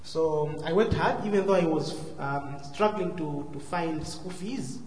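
A man with a southern African accent giving a personal testimony as a guest speaker, courteous, measured and hesitant. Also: fairly high pitch, a little mellow. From Zambia and Malawi. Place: large church hall, live before a congregation. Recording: echoey hall, faint noise.

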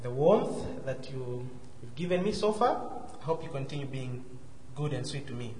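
A man with a southern African accent giving a personal testimony as a guest speaker, courteous, measured and hesitant. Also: medium pitch, animated, a crowd in the background. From Zambia and Malawi. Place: large church hall, live before a congregation. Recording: echoey hall, faint noise.

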